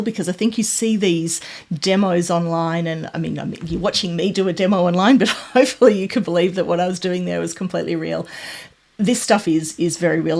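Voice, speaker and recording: A woman with a low, slightly husky voice and a gravelly edge talks through tech announcements. She is informal and chatty, and sounds keen on what she is showing. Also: a little mellow. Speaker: tech presenter recapping announcements. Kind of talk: casual explainer commentary with demos.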